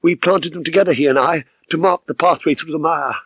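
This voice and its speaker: A man's voice: a little high, brisk, slightly rough, dry recording, lo-fi sound, voice pushed up. A man voicing an anguished, bitter woman, an abused wife, with impassioned melodrama in an audiobook story. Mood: vengeful, fervid.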